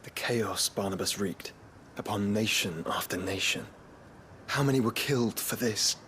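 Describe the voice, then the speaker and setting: An actor playing a solemn, resolute nobleman in a video game, earnest and deliberate with formal phrasing. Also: fairly low pitch, pushed and bright, a little hiss or hum. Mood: troubled. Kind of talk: dramatic video game acting.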